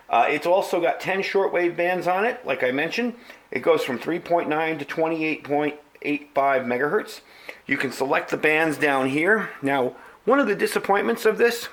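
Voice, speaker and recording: A man's voice: mid-range voice, fairly bright tone. A middle-aged man with an American accent giving a casual, conversational product review, explaining things as he demonstrates them.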